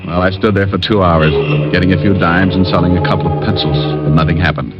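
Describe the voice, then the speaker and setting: Casting: man as wisecracking 1940s radio gumshoe, low register, dry delivery, loud music on old muffled audio. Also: steady tone, quick pace, lightly acted. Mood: wry narrating. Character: wry, cocky male private detective. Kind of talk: 1940s radio acting, first-person narration. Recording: dull vintage radio recording, loud music.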